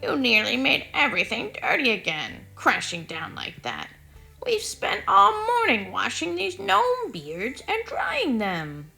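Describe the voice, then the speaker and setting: A squawky, scolding old gnome washerwoman from a storybook, pitched way up high and swooping wildly as she grumbles. Her voice is a touch gravelly, with soft music faintly underneath. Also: wildly swooping pitch, unhurried, full character voice, rough recording. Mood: cross. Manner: scolding, threatening.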